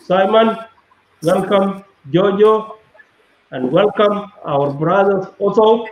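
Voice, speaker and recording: A man hosting a live online panel discussion, speaking haltingly with many fillers and pauses. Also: sits high. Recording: echoey, dull, faint noise.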